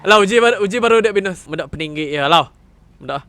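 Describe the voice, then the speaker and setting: A man's voice: sits high, animated, quick pace, a bit bright, no echo. A man chatting playfully with friends while gaming, laughing and teasing often. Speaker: gaming channel host.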